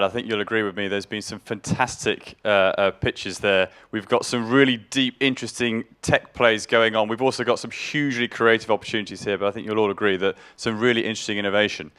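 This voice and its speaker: Smooth-voiced upbeat event host, man. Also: on the low side, fairly even intonation, fairly quick, sharp tone. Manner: upbeat, superlative-heavy. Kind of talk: compering a demo day. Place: stage at a demo-day event.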